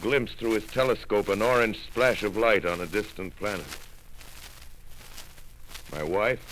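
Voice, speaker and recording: Lonely survivor astronomer, very deep voice, halting and brooding, 1930s radio drama, faint hiss of an old broadcast. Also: male voice, fairly bright tone, poor audio. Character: lone shaken male astronomer, middle-aged survivor. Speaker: narrator of a radio play.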